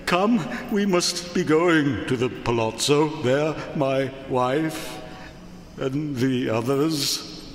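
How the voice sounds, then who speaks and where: An actor playing a doomed, desperate man who feigns good cheer, with halting, pleading delivery in a theatrical gothic radio drama. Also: medium pitch, lightly acted. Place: live stage before an audience in a large hall. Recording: echoey live hall with background noise.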